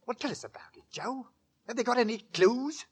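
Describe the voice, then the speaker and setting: An actor playing an inquisitive, folksy middle-aged London working man in a 1940s radio drama, talking with halting, stammering eagerness. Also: very high voice, lively intonation, husky, heavily acted. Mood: prying. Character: excitable, inquisitive working-class London father, folksy and henpecked. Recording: vintage 1940s radio recording.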